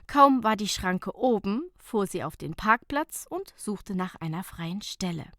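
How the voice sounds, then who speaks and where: A woman's voice: mid-range voice, animated, measured pace, fairly bright tone, no room sound, studio quality. A woman narrating a light, humorous short story as an audiobook reader, in a scripted reading style.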